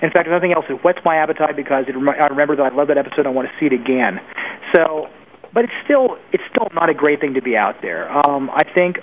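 A man's voice: fairly high pitch, expressive, fast talker. A man giving informal, opinionated answers as an interview guest, speaking conversationally and with emphasis. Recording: narrow phone-like line with faint noise.

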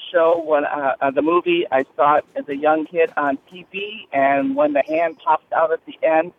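A man's voice: on the high side, expressive, normal speed. Tinny phone call from a gushing man. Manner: gushing, enthusiastic. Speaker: caller leaving a listener voicemail. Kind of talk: casual, chatty fan message. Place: in a car, driving. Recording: narrow phone line, faint noise.

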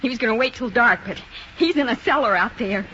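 A woman's voice: a little high, brisk, pushed and bright, faint music behind. A woman playing an anguished, frightened criminal's wife in a 1950s radio drama, speaking hesitantly and plainly. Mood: anguished, resolute. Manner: hesitant, plain unschooled speech. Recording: dull, noisy 1950s radio recording.

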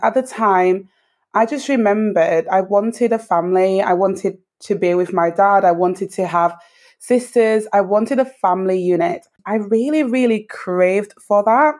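A woman in her thirties from Dominica with a British accent, an interview guest thoughtfully telling her life story with frequent pauses. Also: mid-range voice, a little mellow. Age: 30s. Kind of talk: reflective personal storytelling.

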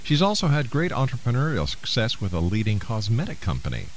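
A man's voice: mid-range voice, wildly swooping pitch, brisk, slight background noise. A man hosting a radio interview, courteous and affectionate with his guest, with some stammered restarts. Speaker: radio talk show host.

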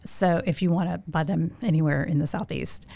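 Middle-aged woman from Louisiana, lowish voice, dry studio sound; casual, chatty guest. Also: steady tone, fairly quick, vocal fry, warm tone, no echo. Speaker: guest, skincare shop owner. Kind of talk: casual podcast interview.

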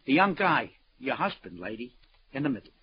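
A man's voice: mid-range voice, sing-song, fairly quick, a little hiss or hum, close to an everyday voice. An actor playing a streetwise, flippant auction-house man with a New York accent and slangy talk, in 1950s radio drama style. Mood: streetwise, animated. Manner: slangy, flippant, calls people sweetheart. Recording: dull vintage radio recording.

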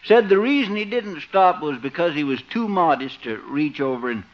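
Man acting a folksy, high-voiced American town marshal. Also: lively intonation, heavy background noise, natural voice. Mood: chuckling. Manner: folksy, amused, drawn-out teasing. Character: chuckling, teasing, folksy town marshal, male. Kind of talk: 1950s American radio situation comedy acting. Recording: muffled old radio recording.

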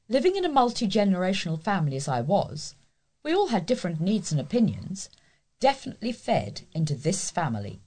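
British woman reading news, lively and slightly husky. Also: medium pitch. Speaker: volunteer newsreader. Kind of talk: scripted local news reading.

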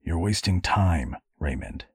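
Deep, weary, sardonic father voiced by a man. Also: animated, unhurried, breathy edge, dry recording, heavily acted. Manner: muttered, understated, dry.

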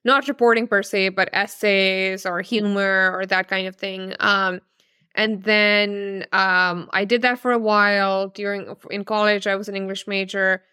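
A woman's voice: mid-range voice, little pitch movement, measured pace, sharp tone, smooth, no echo, studio quality. A woman in her thirties from Pakistan, a novelist answering interview questions thoughtfully, with many fillers and restarts. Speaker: novelist guest.

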